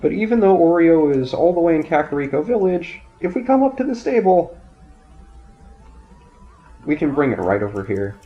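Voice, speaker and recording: Congested man giving calm game commentary. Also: fairly high pitch, moderate pace, long pauses. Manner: calm, explanatory, thinking aloud. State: nasal and raspy from a sinus infection. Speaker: let's play commentator. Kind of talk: gameplay commentary. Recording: dull lo-fi audio, faint game music.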